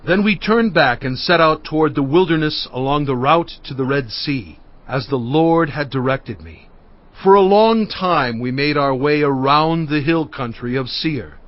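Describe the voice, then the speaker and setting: A man's voice: fairly high pitch, lively intonation, unhurried. A man playing an impassioned leader who recounts and admonishes, oratorical and solemn, in dramatized scripture. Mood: solemn recounting. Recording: dull audio with music underneath.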